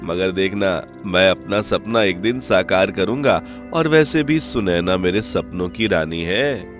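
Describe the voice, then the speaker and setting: A man plays a humble young blacksmith in a cartoon love story. His voice is on the low side and unhurried, earnest and a little halting, with faint music behind. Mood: hopeful, determined. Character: Shiva, humble lovestruck young village blacksmith, earnest dreamer. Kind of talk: Hindi cartoon story dialogue.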